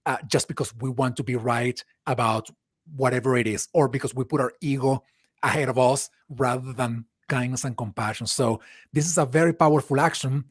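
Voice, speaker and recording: An earnest man hosting a live interview chat, talking in long reflective sentences and often restarting his phrasing. His voice sits at an ordinary middle pitch. The sound is dry and close, with no room around it. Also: fairly even intonation, fairly quick, no room sound. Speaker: host.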